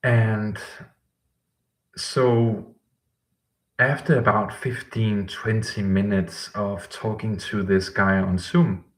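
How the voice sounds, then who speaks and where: A man's voice: fairly low pitch, steady tone, slow pace. A man hosting a live-stream question session as a language coach, rambling with frequent ums and self-corrections. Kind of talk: live-stream talk to chat viewers.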